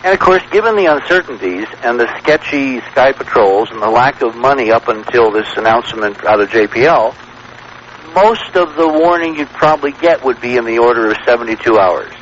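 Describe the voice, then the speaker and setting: A man talking as a radio show guest, telling things in an emphatic, wordy way with halting repetitions. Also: mid-range voice, expressive, a bit bright. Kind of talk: conversational storytelling on talk radio. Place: radio broadcast. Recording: dull, noisy vintage radio broadcast recording.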